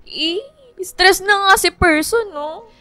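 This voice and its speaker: A woman playing an excitable, lovestruck young student in a radio romance drama, whiny and pleading with her best friend. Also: very high-pitched, slow pace, exaggerated voice. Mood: whiny, stressed. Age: young adult.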